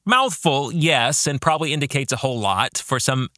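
A middle-aged man hosting a reflective book club podcast, speaking earnestly and deliberately, often posing rhetorical questions. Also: mid-range voice, sharp tone, no echo, clean studio sound. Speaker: podcast host and author.

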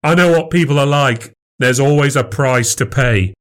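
A man's voice: medium pitch, moderate pace, pitched above the performer's own voice, lightly acted. Guy acting out a jealous husband in an audiobook, sarcastic and goading, voice pushed up. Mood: bitter, scornful. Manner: goading, sneering. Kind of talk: acted audiobook dialogue.

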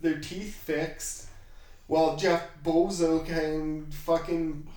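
A man with a raspy, slightly high voice talks slowly in a heavily echoing room. He chats casually like a podcast host, swearing freely and irreverently. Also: flat delivery, a little mellow. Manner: profane, irreverent. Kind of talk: casual chat. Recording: very echoey room.

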